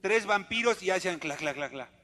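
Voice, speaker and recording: A man's voice: high voice, lively intonation, moderate pace, sharp tone. A man doing interactive musical storytelling for children in a theatrical, coaxing, exclamatory way. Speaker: children's entertainer, singer-storyteller. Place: live outdoor show for children. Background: acoustic guitar.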